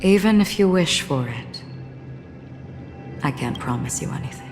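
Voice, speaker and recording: A woman voicing a calm, enigmatic, solemn wanderer in a video game cutscene, speaking in a measured, stoic way. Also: sits low. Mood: calm, probing. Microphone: close studio voice recording. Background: game music.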